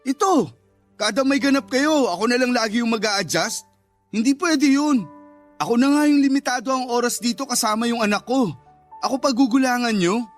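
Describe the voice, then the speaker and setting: A man's voice: very high voice, normal speed. A man playing an aggrieved, stubborn Filipino father in a radio romance drama, insistent and conversational. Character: aggrieved, stubborn divorced father standing his ground. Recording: music under the voice.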